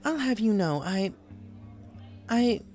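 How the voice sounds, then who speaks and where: A man's voice: high voice, big pitch swings, takes it slowly, darkish tone, soft background music, pitched above the performer's own voice, heavily acted. A refined mare seamstress from a storybook, acted out by a man. The voice is raised well up and genteel, with a theatrical, wistful whine and prim phrasing. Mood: whining. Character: refined, melodramatic adult female pony seamstress, lovelorn and whiny. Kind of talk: audiobook character voice.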